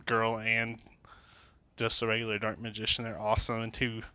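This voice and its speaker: A man's voice: fairly low pitch, monotone, normal speed, pushed and bright, clear, resonant tone, no echo. A man showing his card collection in a casual vlog, a bit tipsy and sniffly from a cold, rambling with many fillers and restarts, recorded on a phone. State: tipsy from drinking. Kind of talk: casual show-and-tell vlog. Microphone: phone camera.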